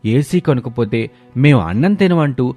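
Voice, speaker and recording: A whining young child put on by a male storyteller, demanding and pleading in drawn-out phrases. Faint music runs behind the moral story. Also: mid-range voice, expressive, warm tone. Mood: whining, upset. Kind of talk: dramatised storybook quote.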